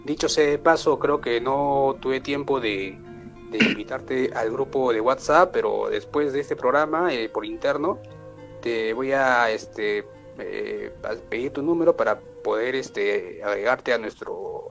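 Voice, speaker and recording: A Peruvian man hosts a live online show in a relaxed, welcoming way, his speech full of filler words. He sits at an everyday middle pitch. Quiet music plays faintly beneath his voice. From Peru. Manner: warm welcoming with frequent filler "eh" and "este". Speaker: host. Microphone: video call.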